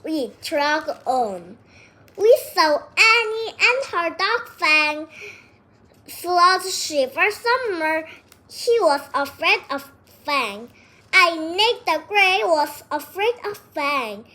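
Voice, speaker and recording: A child reading a detective story aloud word by word, with halting breaks between phrases. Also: very high-pitched, big pitch swings, bright, forceful tone.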